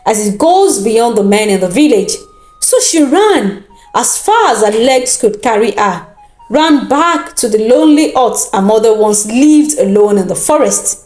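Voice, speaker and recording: A woman with a Nigerian accent telling a scripted folktale in a dramatic, deliberate and expressive manner. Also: fairly high pitch. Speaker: storyteller. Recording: roomy echo with background music. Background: music.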